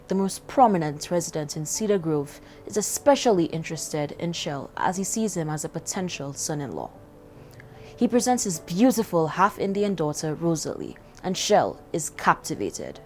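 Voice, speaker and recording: A woman's voice: on the low side, darkish tone, slight background noise. A woman reading a scripted introduction as moderator of an event.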